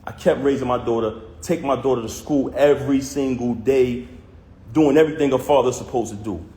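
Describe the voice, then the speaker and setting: A man speaks straight to his viewers, recounting a personal story in a heated, emphatic way with plenty of street slang. His voice sits at an ordinary male pitch. The room gives it a noticeable echo. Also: steady tone, brisk, dark tone. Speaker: vlogger recounting a personal conflict. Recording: roomy echo.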